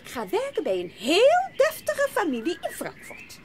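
An actress playing a brisk, insistent, hard-hearted aunt in a Dutch audio drama. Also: female voice, very high voice, huge pitch swings, noisy background, exaggerated voice. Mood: impatient.

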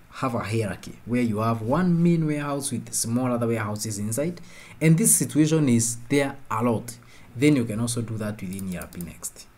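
A man giving an informal tutorial, talking conversationally and often pausing to rephrase his points. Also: mid-range voice, darkish tone. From Kenya. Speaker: presenter of a software tutorial. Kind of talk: informal explanatory screen-share lesson.

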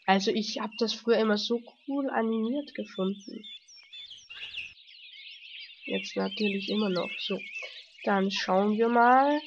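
A woman with a cold, commenting casually on a game she is playing. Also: mid-range voice. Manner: relaxed, slow, chatty. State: has a cold, coughing. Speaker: let's play host. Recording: dull lo-fi home mic with faint noise.

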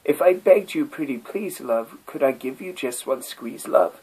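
A Scottish man voicing a lovesick male turtle who pleads in a high, sing-song voice. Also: lively intonation, a little slow, a little mellow, breathy edge, rough recording. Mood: coaxing. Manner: imploring, sing-song, rising to a high wail.

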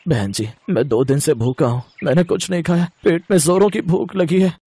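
An actor playing a starving poor village beggar in a storybook tale, husky and plaintive. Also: male voice, on the high side, normal speed, mellow. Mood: desperate pleading. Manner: pleading, plaintive.

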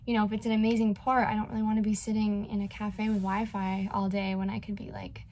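A woman in her twenties, a cruise ship performer, chatting casually to a phone camera held at arm's length in a small cabin, bubbly with quick asides. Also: mid-range voice, little pitch movement, a little mellow. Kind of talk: casual vlog chat to camera.

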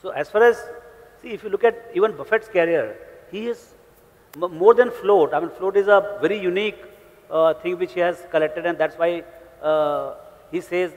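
A man's voice: high voice, normal speed, darkish tone. A middle-aged man with an Indian English accent, speaking as a panelist with many hesitant fillers, through a lapel mic. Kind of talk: panel discussion. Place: indoor conference stage. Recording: reverberant hall, lapel mic.

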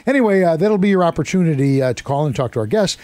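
A male radio host with a hoarse, worn voice, talking in a chatty, rambling, self-deprecating way in a studio. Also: on the high side, animated, very fast, warm tone. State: hoarse, losing his voice. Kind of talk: casual radio talk. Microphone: studio microphone.

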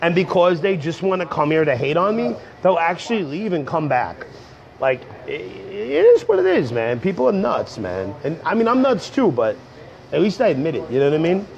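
A young man, hoarse from a cold, rambling casually to viewers on a livestream from a coffee shop. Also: on the high side, big pitch swings, moderate pace, a little mellow. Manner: rambling, slangy, talks straight to viewers. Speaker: comedian livestreaming. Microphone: earbud headset mic on a phone. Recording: dull lo-fi phone stream.

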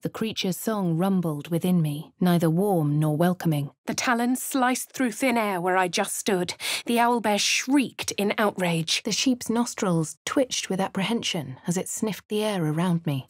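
An actress playing a posh, composed fantasy adventurer in a video game, with a British accent and a refined, measured, dramatic delivery. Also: female voice, lowish voice, no room sound, studio quality, lightly acted. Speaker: voice actor for a video game player character. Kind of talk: scripted game voice lines and narration.

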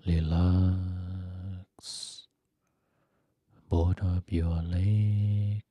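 A man leading a guided meditation in a soft, breathy, gentle voice, speaking in short phrases with long pauses between them. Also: low-pitched, little pitch movement, no echo. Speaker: Buddhist monk teaching meditation.

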